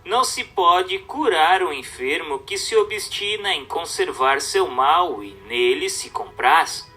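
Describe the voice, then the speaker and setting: A man with a Brazilian accent reading a religious treatise aloud as a narrator, in a formal, measured, didactic way. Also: on the high side, expressive, pushed and bright, soft background music.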